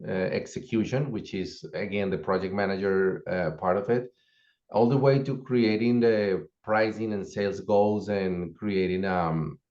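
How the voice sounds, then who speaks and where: A man who is a course instructor, steadily explaining and listing the parts of a course over a video call. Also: low-pitched. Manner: steady, explanatory, listing items one after another. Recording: dull lo-fi call audio.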